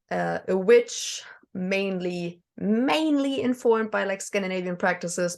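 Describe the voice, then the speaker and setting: A woman's voice: on the low side, creaky. A woman from Germany with a German accent, talking as a podcast guest, hesitant with many fillers and small laughs. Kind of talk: casual conversation.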